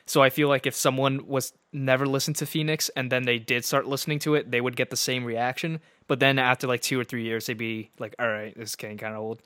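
A man's voice: medium pitch, flat delivery, fast talker, few pauses, a bit bright, dry recording. A young man co-hosting a podcast, chatting casually about music, rambling with lots of "like" and laughing easily. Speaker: podcast co-host.

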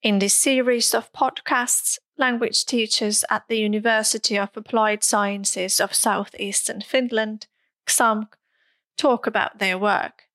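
A woman whose first language is Russian, a language teacher hosting a podcast who introduces the episode and asks questions in a clear, deliberate way. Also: mid-range voice, steady tone, a bit bright, no room sound.

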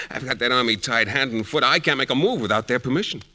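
An actor playing an eager, loving young husband going to war, in theatrical, earnest 1940s radio style. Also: male voice, medium pitch, animated, fairly quick. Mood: reassuring. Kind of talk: 1940s radio drama acting. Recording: old radio broadcast with loud music.

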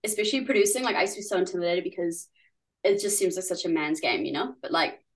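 A woman's voice: on the low side, expressive, fast talker. A young woman from New Zealand, a musician guest chatting casually in an interview, full of "like" fillers and giggles.

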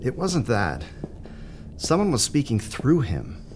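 A man playing an earnest, troubled psychiatrist in an audio drama, speaking in a measured, polite, halting way. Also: mid-range voice, wildly swooping pitch, darkish tone, slight background noise. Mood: hesitant, troubled. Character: earnest, hesitant male psychiatrist, troubled but professional.

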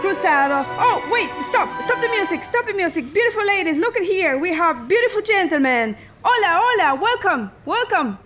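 A woman's voice: very high voice, big pitch swings, normal speed, few pauses, fairly bright tone, faint music behind, heavily acted. A woman playing an exuberant dance teacher in a radio drama, calling out encouraging, commanding instructions. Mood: excited, welcoming.

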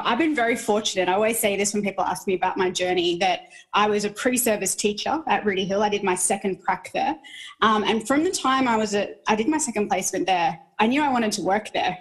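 A woman's voice: medium pitch, fast talker, poor audio. Earnest young woman teacher. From Australia. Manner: earnest, repeats words while thinking. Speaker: guest teacher. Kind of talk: podcast interview.

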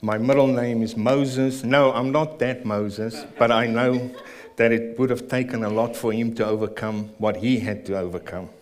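A man with a South African accent sharing his personal testimony and prayer at a church service, earnest and a little nervous, with halting fillers. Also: mid-range voice, steady tone, darkish tone. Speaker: church member giving testimony.

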